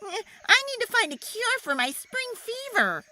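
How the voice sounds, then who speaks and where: Lady doing a whiny little monkey boy for a storybook, really high pitched and excitable, music behind. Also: unhurried, slight background noise, full character voice. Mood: worried. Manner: whiny, exclamatory, easily worked up. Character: silly, grumpy, excitable young male monkey.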